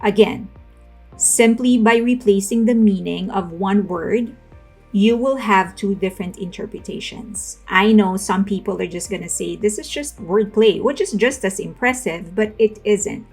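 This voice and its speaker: A young woman hosting a commentary channel, speaking casually to camera and then reading a scripted voice-over analysis in an even, explanatory way. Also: mid-range voice, a little slow, husky, soft background music. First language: not Korean. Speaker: video essay host. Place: home room with plush toys.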